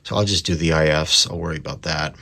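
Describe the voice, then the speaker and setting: A man's voice: rumbling low, fast, gravelly, background noise. A man narrating a hands-on repair in a casual, unscripted way, thinking aloud with some hesitation. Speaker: radio repair hobbyist presenting his work.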